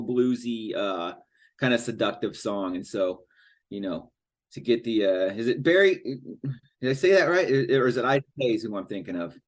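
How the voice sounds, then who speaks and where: A man who plays in a band, rambling and searching for his words in a casual podcast chat. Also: mid-range voice. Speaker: musician in a band.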